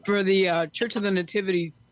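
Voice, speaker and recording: A woman's voice: medium pitch, fairly even intonation, brisk, no echo. A woman in her fifties chatting casually, with a dry, teasing way of talking. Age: 50s. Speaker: guest, soprano singer. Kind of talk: casual chat.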